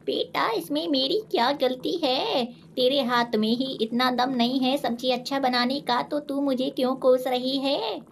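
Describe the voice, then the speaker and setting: Woman as cartoon village mom, high pitched, teasing then soothing. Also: measured pace, gravelly, hardly any pauses, a little hiss or hum. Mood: reproachful. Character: teasing, placating middle-aged village mother. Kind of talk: Hindi animated moral-story dialogue.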